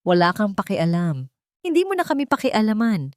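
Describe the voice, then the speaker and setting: A woman's voice: mid-range voice, huge pitch swings, normal speed, no echo, voice pushed up. A bitter, defiant mother in an audio drama, acted by a female narrator. She speaks emphatically and accusingly, her voice lifted above an everyday register, in a dry recording. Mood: angry, hurt. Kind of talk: dramatic audio story acting.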